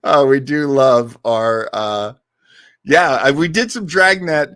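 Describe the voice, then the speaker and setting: A man's voice: mid-range voice, takes it slowly, a bit bright, dry recording, clean studio sound. A man hosting an online listening show, chatting casually with listeners like an enthusiastic, nerdy fan of old radio. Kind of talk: casual livestream chat with listeners.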